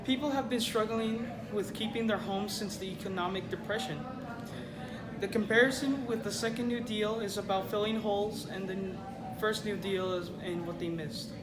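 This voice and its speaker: A woman giving scripted news commentary, halting and repeating phrases. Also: mid-range voice. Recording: echoey room with crowd chatter.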